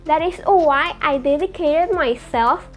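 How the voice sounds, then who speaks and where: A woman's voice: sits high, measured pace, soft background music. A woman from Venezuela with a Venezuelan Spanish accent, a guest YouTuber speaking English in an earnest, careful way.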